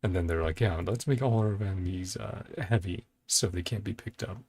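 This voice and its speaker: A man giving casual reaction commentary as a video host, halting as he thinks aloud. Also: low voice, a little mellow, slight background noise. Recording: faint music behind the voice. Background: faint music.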